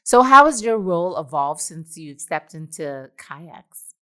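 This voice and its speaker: A woman's voice: low-pitched, big pitch swings, unhurried, a bit bright. A woman hosting a podcast, reading polished interview questions in an upbeat manner. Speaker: podcast host.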